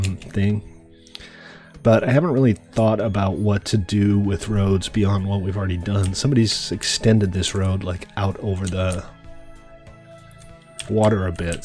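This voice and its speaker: A man's voice: lowish voice, a little mellow. A man streaming a game, a little stuffy in the nose, chatting easily with friends and thinking aloud as he plays. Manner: easygoing, thinking aloud with fillers. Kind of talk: casual gameplay chat.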